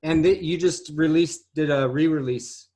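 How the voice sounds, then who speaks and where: A man's voice: fairly high pitch, moderate pace, a little mellow. A man chatting casually as he organizes a group video call. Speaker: meeting organizer.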